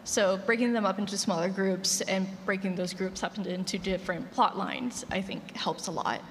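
A woman's voice: medium pitch, fairly even intonation, normal speed. A woman explaining her method as a panelist and podcast creator. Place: large room at a convention panel. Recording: echoey hall, clear background noise.

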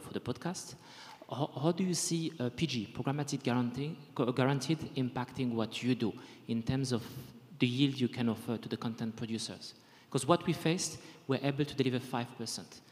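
A man putting a question to a conference panel in a halting way. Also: mid-range voice, darkish tone. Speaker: audience questioner. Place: conference hall audience. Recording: echoey hall with long reverb.

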